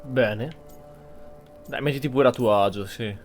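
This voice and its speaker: A man's voice: mid-range voice, normal speed, faint music behind. A man giving casual gameplay commentary as a roleplaying streamer, musing and thinking aloud. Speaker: game streamer.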